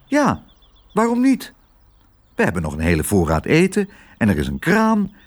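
A man's voice: fairly high pitch, huge pitch swings, normal speed, pitched above the performer's own voice. A man voicing an easygoing, optimistic father in a children's story, cheerful and reassuring. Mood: contented, persuasive.

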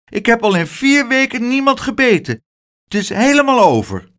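A man's voice: very high voice, big pitch swings, normal speed, fairly bright tone, voice pushed up, exaggerated voice. A man voicing a very small, shy boy in a children's storybook, timid yet insistent and eager to please. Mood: earnest, insistent.

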